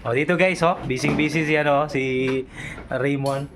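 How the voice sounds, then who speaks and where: A man's voice: fairly high pitch, steady tone, normal speed, background noise. A man with a Filipino accent chatting casually as a vlog host, informally addressing viewers and instructing helpers.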